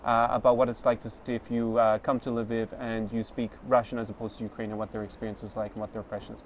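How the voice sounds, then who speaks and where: A man hosting a vlog, explaining things conversationally to camera in measured, slightly hesitant phrasing. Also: lowish voice, level tone. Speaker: vlog host. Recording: outdoor street noise.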